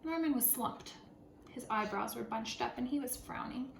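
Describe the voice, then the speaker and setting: A woman, a first grade teacher, reads and talks to young children in an expressive, deliberate storytelling way. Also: a little high. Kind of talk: picture-book read-aloud for children. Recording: roomy echo, clear background noise.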